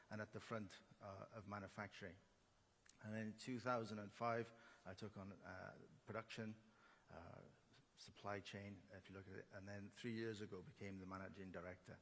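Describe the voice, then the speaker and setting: A man in his fifties, a company executive, introducing himself on a panel in a relaxed, plain-spoken way with many fillers. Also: fairly low pitch. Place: conference hall. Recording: hall reverb with faint ambience.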